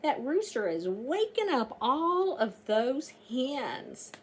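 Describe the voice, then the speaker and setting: A middle-aged woman reading aloud to young children like a teacher, sing-song and animated, often breathy, stretching key words and putting questions to her listeners. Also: high-pitched, slow pace, slight background noise. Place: small indoor room, seated by a door.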